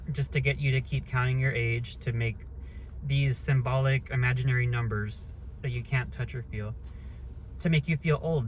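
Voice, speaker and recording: Thirties guy ranting casually, blunt, curses a lot, rambles, mid pitch, faint hiss behind him. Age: 30s. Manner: blunt, rambling, swears freely. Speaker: vlogger. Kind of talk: casual monologue rant.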